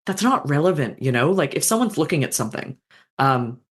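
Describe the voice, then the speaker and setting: An interview guest with a mid-range voice speaks as an author reflecting on craft. The talk is informal and thoughtful, feeling its way through ideas with pauses, slang and the odd swear word. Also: mid-range voice, sing-song, husky.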